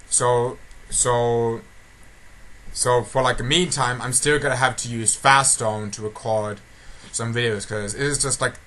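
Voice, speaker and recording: Bright-voiced man rambling over background noise. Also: mid-range voice. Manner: repetitive, stumbling and restarting sentences often. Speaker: online video creator giving channel updates. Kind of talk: casual rambling update log. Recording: noticeably noisy amateur home recording.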